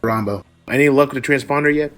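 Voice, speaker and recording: A man's voice: mid-range voice, fast talker, faint music behind, close to an everyday voice. A man acting a stern but loyal mercenary squad leader in a sci-fi audio drama. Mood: businesslike.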